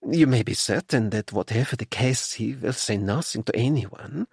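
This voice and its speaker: A man's voice: medium pitch, moderate pace, creaky, darkish tone, breathy edge, no room sound, studio quality. A man voicing a polite, earnest society lady with doubts, speaking formally and carefully in an audiobook. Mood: doubtful. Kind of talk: audiobook character dialogue.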